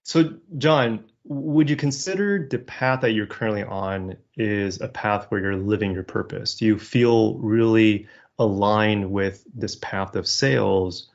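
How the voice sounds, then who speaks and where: A man hosting a podcast, asking casual interview questions in a relaxed way with small restarts. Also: fairly low pitch, measured pace, creaky, few pauses. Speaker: podcast host. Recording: slightly dull lo-fi audio.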